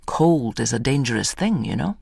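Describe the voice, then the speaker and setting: A woman voicing a nosy, paternal priest in an audio story, inquisitive and gently admonishing. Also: extremely low pitch, lively intonation, moderate pace, vocal fry, husky, dry recording, pitched below the performer's own voice, exaggerated voice. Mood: paternal, fussing. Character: nosy, fussing fatherly priest.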